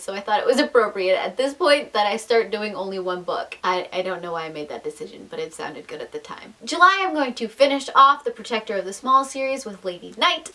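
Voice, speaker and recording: A woman's voice: a little high, a bit bright. A young adult woman chatting casually to viewers as a book vlogger, enthusiastic and emphatic, with self-deprecating asides.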